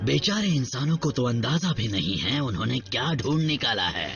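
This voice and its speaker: A man voicing a scheming, gloating robot villain, power-hungry and theatrical, in an animated series dub. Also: medium pitch, gravelly, full character voice. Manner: slow, gloating, theatrical delivery.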